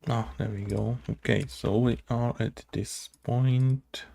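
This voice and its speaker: A man talks to himself while coding, in a casual, muttered way. His delivery is extremely slow and halting, with long drawn-out gaps. The voice is mellow and full of heavy vocal fry. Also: medium pitch, steady tone. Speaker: game developer making a coding tutorial.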